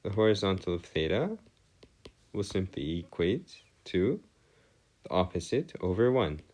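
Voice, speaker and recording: A man giving an explanatory math lesson as a tutor, phrasing things formally and pausing deliberately between thoughts. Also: low voice, sing-song, darkish tone. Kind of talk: explanatory math lesson over worked diagrams.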